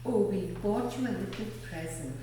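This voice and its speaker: A woman playing a kindly, sentimental married neighbour in a radio play, speaking gently and with open affection. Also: medium pitch, moderate pace, close to an everyday voice. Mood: tender. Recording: roomy, distant, faint background noise.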